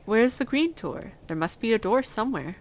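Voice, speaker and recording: A woman's voice: mid-range voice, very animated, normal speed, slight background noise. A woman voicing a lonely, sour but curious little girl in a storybook reading, with stiff, direct speech. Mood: eager, insistent.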